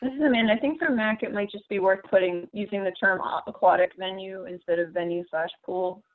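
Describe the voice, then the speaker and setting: A woman's voice: mid-range voice, brisk. A woman giving explanatory comments in a committee meeting over a phone line. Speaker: committee participant. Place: phone conference call. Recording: tinny, narrow phone line.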